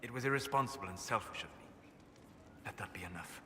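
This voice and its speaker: An actor playing a guarded, scholarly male elf mage who speaks calmly and in a measured way, in game cutscene dialogue. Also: fairly low pitch, slight background noise. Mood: cold, restrained. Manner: calm, measured, soft-spoken. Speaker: video game character.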